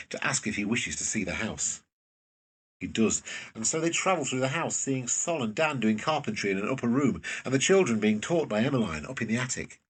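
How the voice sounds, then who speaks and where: A man narrates a drama, reading out scripted stage directions. His voice sits fairly low. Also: a bit bright, breathy edge, rough recording.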